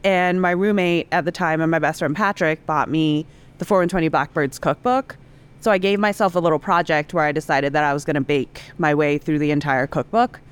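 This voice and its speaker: A woman's voice: sits low, clear, resonant tone. A woman in her thirties, a cookbook author from Singapore, chatting casually as a podcast guest and filling her talk with "like". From Singapore, raised in Indonesia and Hong Kong. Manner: chatty, frequent "like" fillers, laughs easily.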